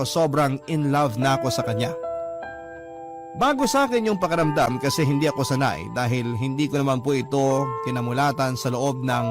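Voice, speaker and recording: Guy narrating a love confession, earnest, guitar playing behind. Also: medium pitch. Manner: earnest, confessional, addresses the host. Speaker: radio drama narrator voicing a letter writer. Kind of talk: first-person radio drama narration. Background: guitar music.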